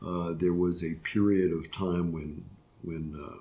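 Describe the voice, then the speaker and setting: A man's voice: sits low, fairly even intonation, dark tone. An older man, a retiring judge from Florida, answering interview questions reflectively, with frequent restarts and fillers. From Florida, USA. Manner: halting, many restarts and fillers. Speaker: retiring circuit court judge.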